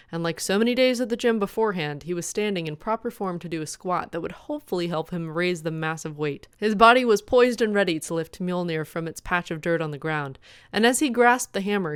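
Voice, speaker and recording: A woman's voice: lowish voice, clean tone, dry recording, studio quality. A woman presenting as a host, reading a comic fan fiction story aloud as scripted narration.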